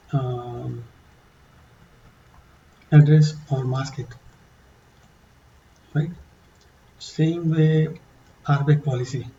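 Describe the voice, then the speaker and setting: A man with an accent walking through a software demo in a halting, casual way, with many fillers and restarts. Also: mid-range voice, muffled recording, a little hiss or hum. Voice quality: non-native English accent. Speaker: tutorial presenter. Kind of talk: casual screen-share walkthrough.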